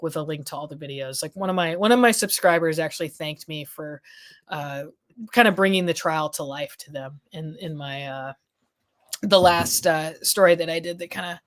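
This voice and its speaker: Lower-voiced woman chatting on a livestream, voice going. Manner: rambling, many restarts and fillers, thinking aloud. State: losing her voice. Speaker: legal affairs journalist hosting a livestream. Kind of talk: casual livestream chat reading viewer comments.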